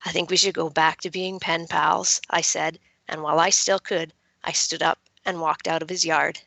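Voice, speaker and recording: A woman reading a young adult novel as its guarded, wry teenage girl narrator, with measured expression. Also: low-pitched, little pitch movement, vocal fry, bright, forceful tone, dry recording, exaggerated voice. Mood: wistful, resolute.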